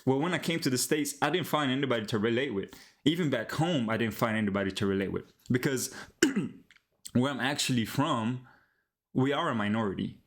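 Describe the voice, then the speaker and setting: A young Colombian-born man talks loosely into a headset mic while streaming from home. His speech is easygoing and full of slang, with stumbles and restarts, and he slips into Spanish now and then. His pitch sits right in the middle for a man. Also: brisk. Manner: relaxed, slangy, switches into Spanish, frequent restarts. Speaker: live-streaming app developer. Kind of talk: casual live-stream chat with viewers.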